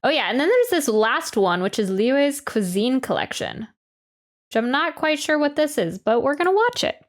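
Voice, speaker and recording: A woman's voice: high-pitched, big pitch swings, moderate pace. A woman streamer reacting casually and talking with her viewers in informal, slangy language. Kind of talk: casual livestream reaction chat.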